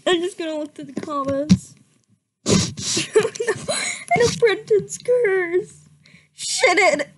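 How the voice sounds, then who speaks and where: A woman's voice: very high-pitched, lively intonation, slow. A woman doing a comedic dramatic reading of a story, giggly and prone to stumbling over the words. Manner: giggly, often breaking into helpless laughter; stumbles over misspelled words read literally. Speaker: reader of a fan fiction story.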